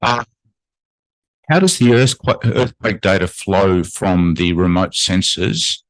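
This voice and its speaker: A man in a conference audience asking a speaker technical questions, halting and restarting as he goes. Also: sits low, no echo, rough recording. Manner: halting, restarts with filler words. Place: conference room.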